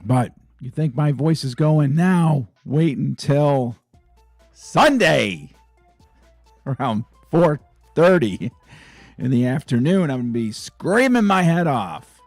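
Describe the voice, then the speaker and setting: A hoarse man hosting a sports fan channel, chatting casually and excitedly about his team's week. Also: a little high, slow pace, no echo, faint music behind. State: hoarse, raspy voice. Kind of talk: casual fan vlog chat.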